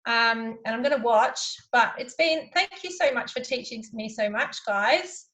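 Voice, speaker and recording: A woman speaks to children over a video call in a fairly high voice. She sounds cheerful and reassuring as she wraps up her part. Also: a little slow, sharp tone, rough recording. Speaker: guest presenter. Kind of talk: talking to children.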